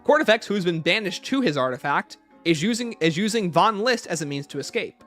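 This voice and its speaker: Higher-pitched man hosting a livestream Q&A. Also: lively intonation, brisk, a bit bright, faint music behind. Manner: reads viewer questions aloud and answers them off the cuff. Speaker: livestream host. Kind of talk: explanatory livestream Q&A commentary.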